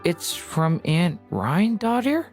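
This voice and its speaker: A naive storybook-born youngster in an anime-style game. The voice is slightly low yet very expressive, earnest and polite, over soft music. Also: female voice, slow pace, full character voice. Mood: uneasy.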